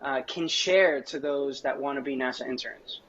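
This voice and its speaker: A man's voice: mid-range voice, moderate pace, fairly bright tone, a little hiss or hum. A man hosting an interview show in an informal, encouraging way. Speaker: host. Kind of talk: upbeat live interview hosting. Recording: dull, lo-fi audio.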